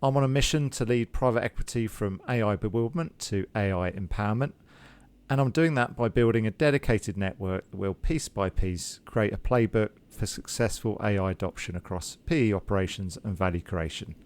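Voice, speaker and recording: A man's voice: fairly low pitch, brisk, a little mellow. A man hosting a podcast interview, reading a prepared introduction and then asking questions with hesitations and repeated words. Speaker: podcast host.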